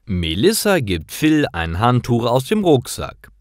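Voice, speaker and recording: A man narrating simple scripted stories for language learners in a careful, expressive way. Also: mid-range voice, measured pace, dry recording, clean studio sound. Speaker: storyteller for German learners.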